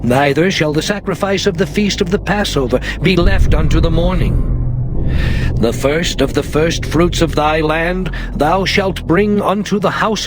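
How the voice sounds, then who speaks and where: An actor playing Moses, a stern aged prophet, declaiming commandments in a solemn, measured way over music. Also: male voice, fairly high pitch, close to an everyday voice. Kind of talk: dramatized scripture reading.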